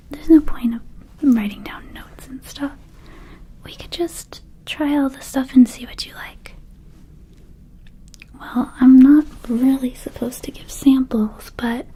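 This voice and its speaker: Friendly, scatterbrained female vampire shopkeeper acted by a woman, ASMR roleplay; soft mellow whisper, slow and hesitant. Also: high-pitched, husky, exaggerated voice. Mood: scatterbrained, conspiratorial. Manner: slow, hesitant, casual, confiding. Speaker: ASMR performer.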